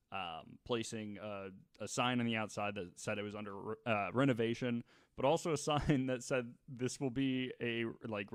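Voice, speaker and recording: Clear-voiced man telling a story casually. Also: on the low side, no echo, clean studio sound. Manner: jokey, laughs while talking. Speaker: podcast co-host telling a true crime story.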